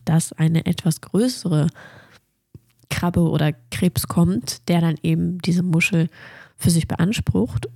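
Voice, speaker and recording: A woman's voice: fairly low pitch, warm tone, husky, no echo, studio quality. A woman hosting a casual solo science podcast, talking eagerly about nerdy facts while she has a cold and a blocked nose. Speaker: podcast host.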